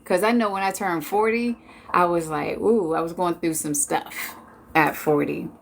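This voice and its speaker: Slow, easygoing woman in her forties. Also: on the low side, gravelly, a little hiss or hum. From Washington D.C. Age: 40s. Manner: chatty, laid-back, joking. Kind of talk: casual chat with viewers.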